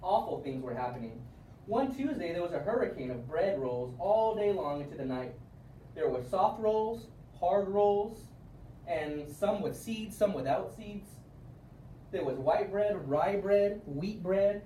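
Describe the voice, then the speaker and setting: A man reading a children's storybook aloud in an expressive way with emphatic word stress, recorded at a distance in a room. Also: high voice, unhurried. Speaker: storybook reader. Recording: roomy, distant mic with faint noise.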